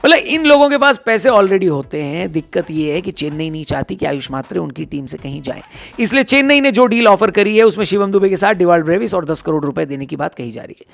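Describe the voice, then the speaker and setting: A hoarse man giving casual cricket news commentary, chatty and teasing as he talks straight to his viewers. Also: high voice, a bit bright.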